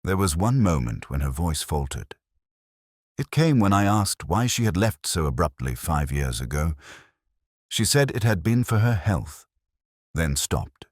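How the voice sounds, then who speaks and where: A man playing a brooding, world-weary police inspector, narrating a case in measured, solemn, literary first person. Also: sits low, sing-song, dry recording, studio quality, exaggerated voice.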